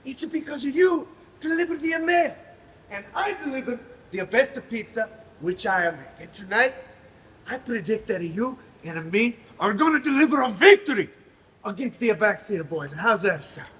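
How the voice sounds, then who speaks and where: Man doing a boastful pizza-man wrestler, bright high voice, faint noise behind. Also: expressive, normal speed.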